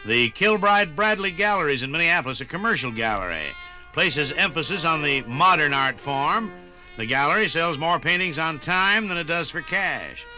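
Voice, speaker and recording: A man narrating a scripted 1950s radio documentary in a measured, formal announcer style. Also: on the high side, animated, bright, forceful tone. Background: music.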